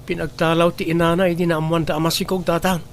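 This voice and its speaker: Man acting an earnest, higher-voiced young boyfriend. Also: level tone, fast, a little hiss or hum, natural voice. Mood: apologetic, earnest. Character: dutiful young boyfriend owning up to parents. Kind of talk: radio drama acting.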